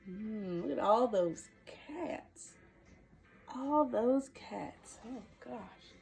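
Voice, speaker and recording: Very high, animated woman reading storytime. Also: extremely slow, creaky, faint music behind. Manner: playful, exclamatory. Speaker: storytime host. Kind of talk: expressive children's picture-book reading with chatty asides.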